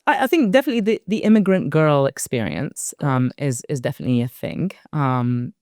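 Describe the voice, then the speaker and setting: A woman in her forties from Iraq, a company board director, talking reflectively as a guest in an interview, measured and thoughtful with soft fillers. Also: low voice, animated, no echo, clean studio sound.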